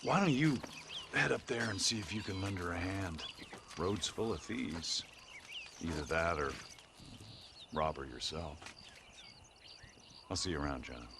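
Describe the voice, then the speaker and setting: An actor playing a calm, mysterious stranger who speaks in a measured, knowing way in a western video game. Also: male voice, low voice, creaky, noisy background, exaggerated voice. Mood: cryptic, goading.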